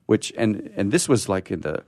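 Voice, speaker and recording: A man's voice: fairly low pitch, sing-song, a little mellow, no echo, clean studio sound. A man hosting a radio show in casual, unscripted talk, stammering and restarting often, with a sore throat. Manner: halting, often stammering and restarting mid-phrase.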